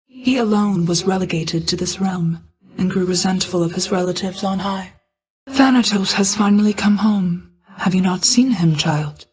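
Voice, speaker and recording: An actress playing a stern yet caring motherly goddess of night in a video game, speaking in formal, measured, solemn lines. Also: medium pitch, darkish tone, lightly acted, poor audio. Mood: calm, counselling. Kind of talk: scripted video game character dialogue, formal archaic speech.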